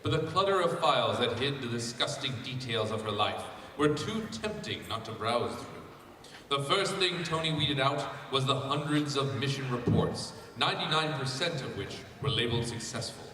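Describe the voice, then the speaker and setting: A man reads a story aloud in a bright, forceful voice. He is picked up from a distance in a big, very echoey hall, at a convention panel. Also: mid-range voice, animated, normal speed, a little hiss or hum. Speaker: reader at a fan convention panel. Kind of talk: reading fan fiction aloud. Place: large convention panel room.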